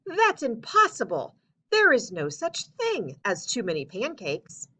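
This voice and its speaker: Woman playing a stubborn giant lumberjack, bright and emphatic. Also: a little high, wildly swooping pitch, unhurried, slightly rough, voice pushed up, heavily acted. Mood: indignant. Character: giant, pancake-mad, stubborn lumberjack hero. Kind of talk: storybook read-aloud character voice.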